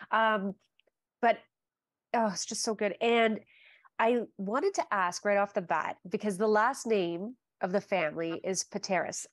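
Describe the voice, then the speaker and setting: A woman's voice: medium pitch, dry recording. Host, woman in her forties, gushing chatty delivery, dead-dry home call audio. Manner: chatty and gushing, with frequent fillers. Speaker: podcast host. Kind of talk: casual video-call interview. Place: home living room. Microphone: video call.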